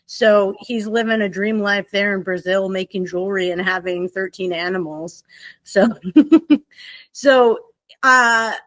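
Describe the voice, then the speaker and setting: A woman's voice: medium pitch, slow pace, a bit bright, dry recording, rough recording. A woman in her forties from Oregon, a podcast guest telling her story candidly and at length. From Oregon, USA. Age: 40s. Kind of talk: candid personal conversation.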